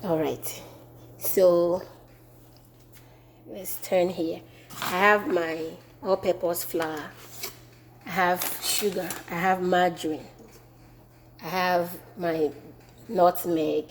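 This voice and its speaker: A woman with a Ghanaian accent demonstrating a home recipe in her kitchen, relaxed and repeating herself as she works. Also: on the low side, extremely slow, pauses often, a little hiss or hum. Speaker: home cooking vlogger. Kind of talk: casual cooking demonstration.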